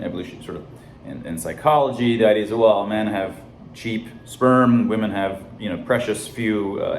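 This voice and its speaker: A man in his fifties hosts a solo science talk, rambling and restarting his sentences with lots of fillers. His voice sits fairly low. The recording is roomy and echoey, with a faint hiss underneath. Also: darkish tone. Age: 50s. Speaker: science podcast host. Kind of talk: casual solo monologue. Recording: echoey room with faint noise.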